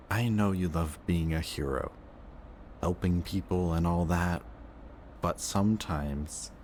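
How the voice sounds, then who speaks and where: A man playing a wounded, protective antihero who insists he is a villain, in an emotional, intimate audio roleplay with a halting delivery. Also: sits low, slight background noise. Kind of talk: emotional audio roleplay acting, addressing the listener.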